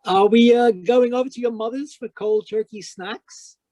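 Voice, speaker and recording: An actor playing a wry, hard-boiled private detective in a 1940s radio style, with clipped, theatrical delivery. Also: male voice, very high-pitched, moderate pace, warm tone, no room sound, highs cut off. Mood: flirtatious.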